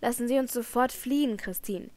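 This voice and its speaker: A woman's voice: on the high side, brisk, darkish tone, close to an everyday voice. A woman reading a storybook, voicing an earnest, protective young man who pleads urgently with his beloved.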